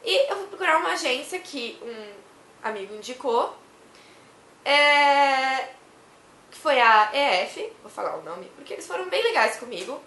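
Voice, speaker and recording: A young woman from Brazil who is hoarse from a cough, chatting to camera in a rambling way and laughing often. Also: sits high, sing-song, measured pace, sharp tone, reverberant. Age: young adult. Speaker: vlogger. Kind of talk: casual chat to camera.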